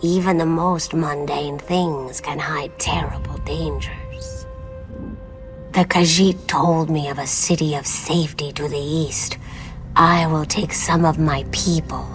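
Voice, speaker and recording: An actress playing a grateful, solemn reptilian woman in a fantasy game, speaking earnestly in a hushed, breathy way. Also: low voice, fairly even intonation, slow. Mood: grave warning. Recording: background music under the voice.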